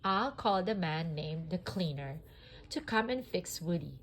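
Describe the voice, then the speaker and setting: A woman reading a children's story aloud in a deliberate, expressive narrating style. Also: lowish voice, slight background noise. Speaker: storybook reader.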